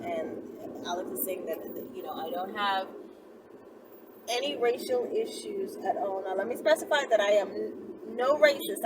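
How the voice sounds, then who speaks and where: A woman talking casually as an online host answering viewers, informal, sassy and emphatic, repeating herself to make a point. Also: medium pitch. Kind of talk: casual chatty vlog talk. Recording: distant mic, loud vehicle-like background noise.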